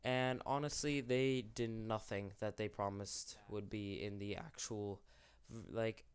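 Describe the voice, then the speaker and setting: A man's voice: on the low side, steady tone, measured pace, clear, resonant tone. A young man hosting an unscripted commentary, rambling and correcting himself, sick and losing his voice. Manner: rambling, self-correcting, candid. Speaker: YouTube channel host.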